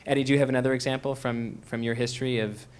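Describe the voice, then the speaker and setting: Man hosting a panel, average pitch, lots of ums and restarts. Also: level tone, smooth. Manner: halting, many fillers and restarts. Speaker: panel moderator. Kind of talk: moderating a live panel discussion.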